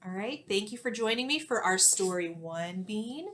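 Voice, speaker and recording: A woman in her thirties speaks as an elementary school teacher leading a read-aloud for young children. Her mid-pitched voice moves up and down in an animated way. She is clear and instructive, shaping her phrases expressively for little listeners. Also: moderate pace, slight background noise. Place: small indoor classroom-like room.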